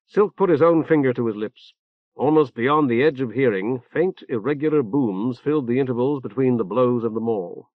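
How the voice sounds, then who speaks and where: A man's voice: medium pitch, moderate pace, no echo. A man reading a novel aloud as scripted audiobook narration, on an old cassette transfer. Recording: dull, roomless cassette transfer.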